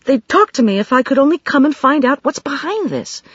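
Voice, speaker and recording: A woman's voice: high-pitched, lively intonation, normal speed, no echo, voice pushed up. A woman reading an audiobook, voicing an anxious young woman who blurts everything out in a rush, pleading and upset. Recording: dry, slightly dull studio recording.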